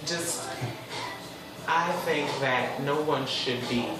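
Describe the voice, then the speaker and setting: A man's voice: slow pace, recorded from a distance, over music. Chatty young man in an echoey room. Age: 20s. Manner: expressive and chatty, with sudden high squeals. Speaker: host. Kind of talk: casual solo talk show monologue. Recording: echoey room, voice a bit off the mic.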